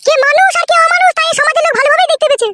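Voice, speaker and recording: A man voicing a quarrelsome, scornful cartoon housewife with a scolding, sharp-tongued manner. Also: very high-pitched, racing, slight background noise, voice pushed up. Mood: scornful, furious. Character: shrill, scornful, quarrelsome first wife. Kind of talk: comedy cartoon dialogue.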